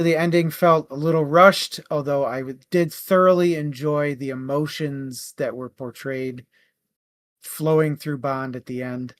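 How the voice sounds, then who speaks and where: Slow, higher-voiced man reviewing books. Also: no room sound. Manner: halting, thinking aloud. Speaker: book club podcast host. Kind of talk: casual book review talk.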